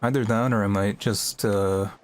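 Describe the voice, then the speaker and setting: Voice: sits low, steady tone, moderate pace, slight background noise. A game streamer chatting casually and musing aloud in a rambling, self-deprecating way during a livestream. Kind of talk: casual livestream gameplay chat. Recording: music playing behind the voice.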